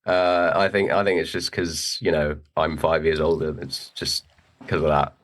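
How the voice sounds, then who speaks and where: A young man with a British accent tinged with American, speaking as an actor guest in a relaxed, hesitant interview chat, laughing easily. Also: extremely low pitch, normal speed. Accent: British with a hint of American.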